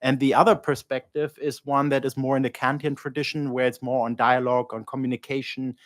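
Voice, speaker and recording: Loud-voiced male panelist explaining theory. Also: mid-range voice, little pitch movement, fairly quick, dry recording. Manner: explanatory, lecture-like. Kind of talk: academic panel remarks. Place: conference panel room.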